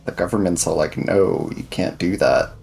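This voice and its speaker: A man's voice: sits low, sing-song, normal speed, vocal fry, darkish tone, a little hiss or hum. A man improvising casually in character as a player in a tabletop roleplaying game. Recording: music bed behind the voice.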